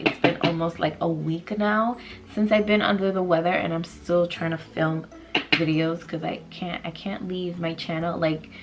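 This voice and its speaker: A young woman in her twenties with a stuffy nose, chatting casually through a makeup tutorial in a small room, rambling with lots of fillers. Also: lowish voice. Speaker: beauty vlogger. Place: small bedroom vanity indoors.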